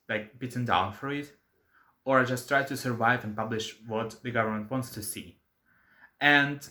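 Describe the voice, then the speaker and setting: A young man from Russia talks to his channel in accented second-language English, earnest and a little apologetic. He leans on fillers and stops to fix his own grammar, a vlogger thinking aloud. His voice sits at an ordinary man's pitch but rings bright and forward. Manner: earnest, many fillers and self-corrections, apologetic. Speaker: channel host. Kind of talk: casual opinion vlog.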